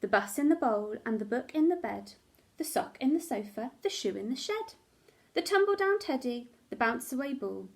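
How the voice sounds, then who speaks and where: A woman with a high voice reads a picture book aloud as a teacher would to young children. Her delivery is dramatic and expressive, shaped for small listeners. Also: normal speed. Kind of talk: expressive storybook narration.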